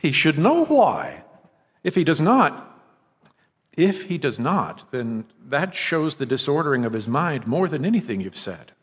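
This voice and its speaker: A proud, guarded countryman in a radio drama. His pitch moves in lively swings around a middle register, though his manner is formal and stiffly courteous. The voice rings in a long reverb. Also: unhurried, highs cut off. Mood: proud, hurt. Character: proud, guarded countryman, loyal friend of a troubled farmer. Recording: reverberant, echoey hall sound.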